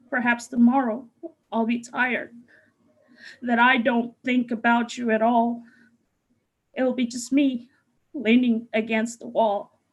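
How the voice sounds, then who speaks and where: A woman reciting a spoken-word poem in a soft, yearning delivery. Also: fairly high pitch, low-quality recording. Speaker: poetry contestant.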